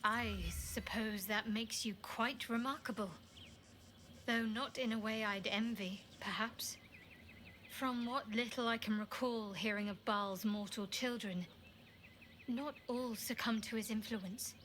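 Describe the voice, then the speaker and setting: A cool, guarded woman adventurer in a fantasy game. Her fairly high voice is measured and reserved, with faint background noise. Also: fairly even intonation, a bit bright. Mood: guarded, encouraging. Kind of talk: video game acting.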